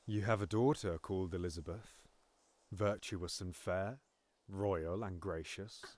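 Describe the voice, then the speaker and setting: A man's voice: low-pitched, fairly even intonation, unhurried, a little mellow, no room sound. An actor playing a ruthless usurping king who commands and persuades coldly in verse. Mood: coldly persuasive. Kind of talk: Shakespearean audio drama.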